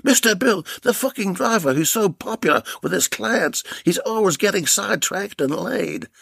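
A man's voice: high voice, expressive, normal speed, gravelly, a bit bright, slightly rough, no echo, clean studio sound, voice pushed up, full character voice. A man voicing a scheming, cackling Australian woman who taunts her captive, with an Australian accent, in a read-aloud story. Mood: taunting. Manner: taunting, mocking.

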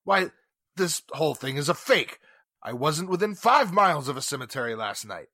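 A man's voice: a little high, very animated, normal speed, bright, forceful tone, breathy edge, no room sound, studio quality, exaggerated voice. A man acting a smug, blustering blackmailer in a 1940s-style radio drama, with a mocking, condescending manner. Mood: defensive, blustering. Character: cornered, sneering male blackmailer, smug then blustering.

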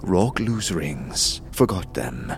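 A furtive, creaky, pleading cultist. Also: male voice, low voice, sing-song, slow, faint music behind, full character voice. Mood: anxious, cryptic. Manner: urgent, repetitive, singsong rhyming. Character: furtive, pleading, slender cultist speaking in broken riddles. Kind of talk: audio drama acting.

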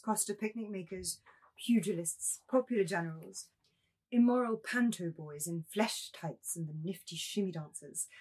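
A woman acting an ethereal, primly reproachful young nymph in a dramatised novel reading, with a husky voice. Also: lowish voice, darkish tone.

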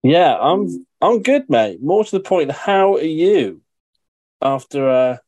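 A man's voice: a little high, very animated, slow, darkish tone. A young man co-hosting a podcast who asks teasing questions and laughs often. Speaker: podcast co-host. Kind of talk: casual chat.

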